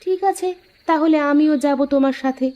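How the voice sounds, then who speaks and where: A woman's voice: high voice, normal speed, mellow, close to an everyday voice. A woman voicing a concerned, practical village wife in a cartoon, coaxing and chiding, on a dull recording. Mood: chiding, anxious. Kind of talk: cartoon dialogue.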